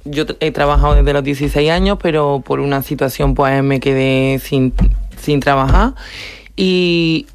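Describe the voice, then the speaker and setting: A woman of twenty-two, giving a casual, halting account as an interview guest. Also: low-pitched, fairly even intonation, clean tone, dry recording, soft background music. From Córdoba, southern Spain. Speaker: interview guest, youth program participant.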